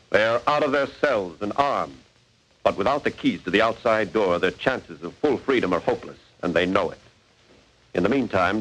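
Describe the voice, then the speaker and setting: An American actor playing a grave crime-show narrator, with portentous, dramatic 1940s radio delivery. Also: male voice, on the low side, a bit bright, poor audio. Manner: portentous, florid. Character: grave male narrator of a true-crime thriller. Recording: old 1940s radio broadcast.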